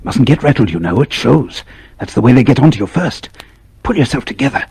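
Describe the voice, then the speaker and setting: A man playing a clerk's sly inner alter ego in a radio drama, breathy, insinuating and goading. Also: mid-range voice, very animated, fairly quick, mellow, exaggerated voice. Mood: urgent warning.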